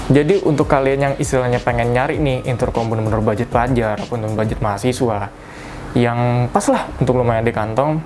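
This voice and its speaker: A young man gives a casual step-by-step tutorial in a conversational, colloquial way, often correcting himself. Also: lowish voice. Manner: conversational, repeats colloquial tags and self-corrects. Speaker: product reviewer giving a tutorial. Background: music.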